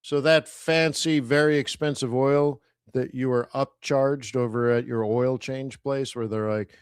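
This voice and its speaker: A man's voice: mid-range voice, steady tone, measured pace, smooth, no room sound, studio quality. A middle-aged Canadian man hosting a live stream, chatting casually and throwing rhetorical questions at his viewers. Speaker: host of a live trading stream.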